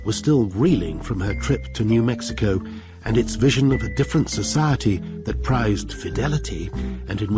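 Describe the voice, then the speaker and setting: Man, dramatic scripted narration, fairly low pitch, music behind.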